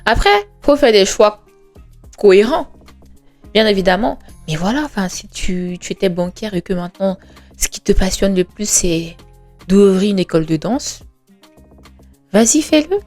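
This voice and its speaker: A woman's voice: mid-range voice, expressive, moderate pace, long pauses, a little mellow. Earnest young woman musing aloud. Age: 20s. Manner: earnest, conversational, hesitant with frequent fillers; rhetorical questions, emphatic reassurance. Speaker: podcast host. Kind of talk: reflective monologue. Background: faint background music.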